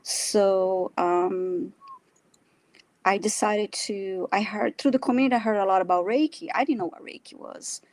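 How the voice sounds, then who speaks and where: A woman with a Brazilian Portuguese accent tells her own story in a casual live chat. She speaks at a middle pitch, pausing and restarting as she searches for words. Her manner is modest and self-deprecating. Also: darkish tone, no room sound. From São Paulo, Brazil. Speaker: guest, animal communicator and energy healer.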